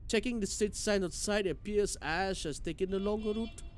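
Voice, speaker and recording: A man's voice: high voice, moderate pace, faint music behind. A man streaming a game, reading its narration aloud expressively with occasional stumbles and side comments.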